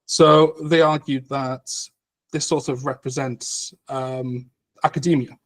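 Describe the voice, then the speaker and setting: A man's voice: mid-range voice, fairly even intonation, takes it slowly. Man, a lecturer, full of ums. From Cardiff, Wales. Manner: frequent ums, patient and explanatory. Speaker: guest academic, lecturer. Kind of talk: conversational explanation.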